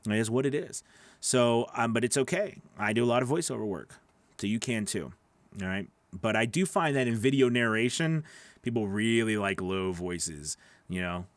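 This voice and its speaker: A man's voice: fairly low pitch, moderate pace, no room sound. A man in his thirties with a nasal voice, chatting casually through a live Q&A from his home studio, repeating words and reading viewer questions aloud. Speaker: voice actor hosting a live Q&A. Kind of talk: casual livestream Q&A. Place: small home studio, indoors. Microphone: large studio microphone on boom arm.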